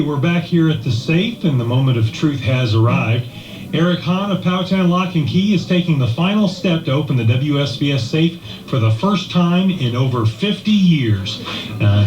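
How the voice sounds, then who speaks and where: A man's voice: fairly high pitch, darkish tone. A man working as a radio DJ, narrating a scripted live report to listeners. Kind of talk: scripted radio broadcast narration with acted skit. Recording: echoey room, music behind.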